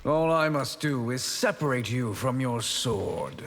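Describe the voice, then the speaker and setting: An actor playing a smug, cruelly vain sorcerer villain in a video game, speaking in a deliberate, taunting, theatrical way with a husky voice. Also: male voice, medium pitch, animated, fairly bright tone, big-room sound, exaggerated voice. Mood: menacing.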